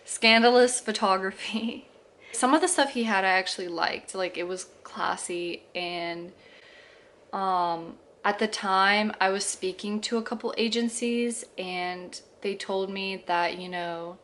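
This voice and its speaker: A woman's voice: medium pitch, measured pace, fairly bright tone, a little hiss or hum. A woman in her twenties chatting casually to camera, filling her story with fillers, restarts and small laughs. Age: 20s. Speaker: vlogger.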